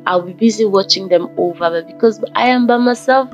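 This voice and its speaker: Croaky woman from Ghana vlogging. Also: medium pitch, fairly quick. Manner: rambling, repeats words, self-corrects. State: croaky from just waking up. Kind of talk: casual motivational vlog monologue. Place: forest fitness trail outdoors. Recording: dull lo-fi audio with faint background music.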